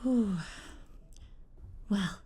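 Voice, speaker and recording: An actress playing a doting, excitable middle-aged mother who is quick to scold, in an audio roleplay. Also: medium pitch, husky, heavily acted. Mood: surprised, recalling.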